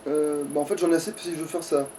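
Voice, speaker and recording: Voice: medium pitch, fairly even intonation, fast talker, recorded from a distance, background noise. A gamer giving casual, grumbling, sweary commentary while playing a video game.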